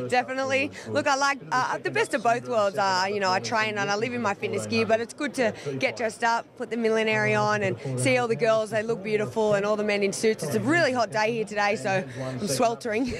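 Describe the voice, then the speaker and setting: A woman's voice: a little high, fairly quick. Aussie woman chatting casually in an interview, outside with crowd all around. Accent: Australian. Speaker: brand ambassador, athlete. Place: outdoors by a racetrack finish line. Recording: outdoor event with crowd noise.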